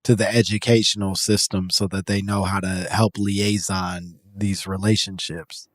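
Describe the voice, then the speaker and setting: A man's voice: sits low, flat delivery, normal speed, no room sound, clean studio sound. A man hosting a podcast in casual comedic chat, riffing with a joking, profane manner. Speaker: podcast host.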